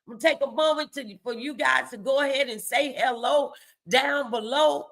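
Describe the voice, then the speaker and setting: A woman's voice: sits high, normal speed, creaky, a bit bright. A woman hosting a faith-based motivational livestream, speaking emphatically and repeating key phrases to exhort her listeners.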